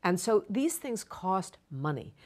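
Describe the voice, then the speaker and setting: A woman answering interview questions as an expert, measured and explanatory, with emphatic repetition. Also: mid-range voice, big pitch swings, darkish tone. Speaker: guest expert on refugees.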